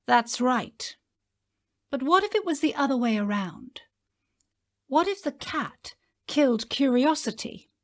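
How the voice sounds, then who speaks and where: Menacing female cult leader, audio drama, imperious, lively high voice in an echoing room. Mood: sly menace. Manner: slow, theatrical, mock-gentle, often dropping to whispers. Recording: roomy, echoing interior sound.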